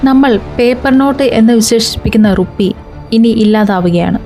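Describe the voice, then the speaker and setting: High-pitched woman explaining. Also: a little fast, over music. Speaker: video channel host. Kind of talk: explainer narration to viewers.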